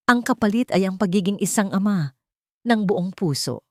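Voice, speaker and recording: A woman narrating a scripted romantic drama with sentimental, deliberate phrasing. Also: medium pitch, expressive, darkish tone, no room sound, studio quality.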